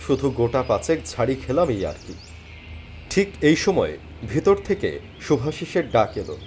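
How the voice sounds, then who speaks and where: A man plays an earnest, downhearted young job seeker narrating a cartoon thriller in the first person. His middle-pitched voice rises and falls dramatically. Music runs clearly underneath. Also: lightly acted. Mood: dejected. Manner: expressive, earnest.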